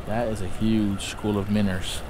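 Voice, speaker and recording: A man talking casually and excitably while fishing from a kayak outdoors, into an action camera. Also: lowish voice, measured pace, noisy background. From North Texas. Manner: excitable, folksy exclamations. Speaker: fishing vlogger. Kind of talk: casual outdoor vlog commentary. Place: outdoors on a kayak on open water.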